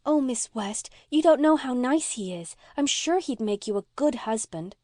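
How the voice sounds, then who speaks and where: A woman's voice: high voice, expressive, normal speed, a little mellow, no room sound, clean studio sound, pitched above the performer's own voice. A woman voicing an earnest, anxious and sweet little girl in a storybook reading, with a breathless, pleading manner. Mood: passionate. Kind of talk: audiobook character voice.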